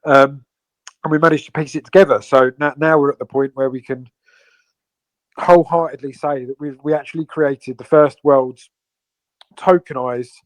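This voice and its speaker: A man's voice: a little high, fairly even intonation, moderate pace, no echo, poor audio. A man from the UK, co-owner of a crypto project, explaining it at length in an online Q&A with many fillers and restarts. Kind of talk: AMA guest explaining a project.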